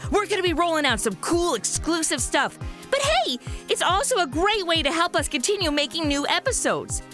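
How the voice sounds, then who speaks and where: A woman's voice: very high-pitched, very animated, normal speed, fairly bright tone, soft background music. A woman speaking as a show host in a scripted, peppy influencer style.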